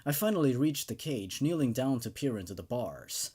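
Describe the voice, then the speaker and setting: A man who hosts horror story readings, narrating dramatically and commenting casually in an expressive, emphatic way. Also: mid-range voice, normal speed, breathy edge. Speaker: host reading and reviewing horror stories.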